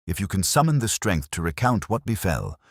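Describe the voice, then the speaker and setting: A man's voice: deep voice, very animated, a little fast, no room sound, clean studio sound, voice pushed up, exaggerated voice. A man voicing a keen, coolly confident detective with eloquent, pompous phrasing in a storybook reading. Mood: courteous, measured.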